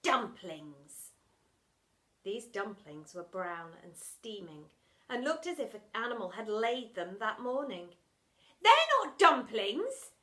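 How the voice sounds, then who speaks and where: A woman reading a children's storybook aloud like a teacher, dramatic and expressive, punching the sound words. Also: medium pitch, measured pace.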